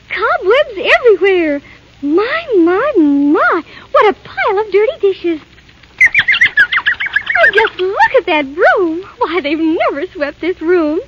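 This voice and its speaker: A woman's voice: very high-pitched, slight background noise, full character voice. A woman playing a sweet, innocent young fairy-tale princess with childlike, theatrical delivery in 1930s radio style. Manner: wide-eyed, childlike, theatrical exclamations. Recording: muffled 1938 radio recording with music.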